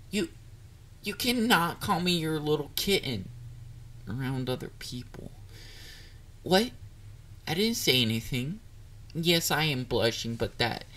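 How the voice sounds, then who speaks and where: A man playing a shy, clingy, excitable young catboy boyfriend in an intimate romantic audio roleplay, hesitant and easily flustered. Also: medium pitch. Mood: flustered, teasing. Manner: hesitant, vulnerable, flustered, with stammers.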